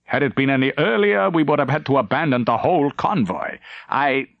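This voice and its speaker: A man's voice: medium pitch, lively intonation, a little fast, vocal fry, no room sound, highs cut off, pitched above the performer's own voice. A cool naval captain read by a male narrator in a war novel. He reports in clipped, formal phrases, holding himself firmly restrained, with a dry, close sound. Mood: cool, controlled. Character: controlled, coolly formal German warship captain.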